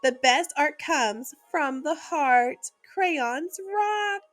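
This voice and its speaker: A mellow, easygoing storybook cat who drawls his words in a high, lilting voice that rises and falls a lot, as a woman reads him aloud. He takes things slowly and stays relaxed. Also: soft background music, heavily acted. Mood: cheerful. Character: cool laid-back male cartoon cat.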